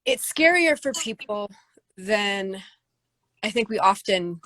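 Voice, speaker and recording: Low-voiced woman musing, dry sound. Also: expressive, slow, pushed and bright, no echo, rough recording. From United States. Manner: thoughtful, many fillers and restarts. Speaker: singer-songwriter guest. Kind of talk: casual interview answers.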